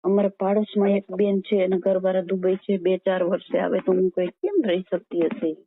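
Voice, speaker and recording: A woman's voice: medium pitch, level tone, normal speed, slight background noise. A woman with a Saurashtra Gujarati accent chatting casually on the phone. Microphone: telephone. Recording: muffled lo-fi phone call recording.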